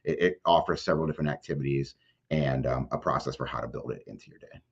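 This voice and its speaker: A man's voice: rumbling low, quick pace, gravelly, husky. A middle-aged man giving conversational advice as an interview guest, explaining in plain, everyday words sprinkled with filler phrases. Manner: plain-spoken, explanatory, peppered with "like" and "you know". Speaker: podcast guest, mental health advocate. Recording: dull, lo-fi sound.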